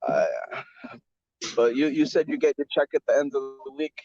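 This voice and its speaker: A man's voice: a little high, sing-song, normal speed, a little mellow, dry recording. A man hosting a casual podcast chat, rambling with many stammered restarts and swearing with easy enthusiasm. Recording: dull dry lo-fi recording.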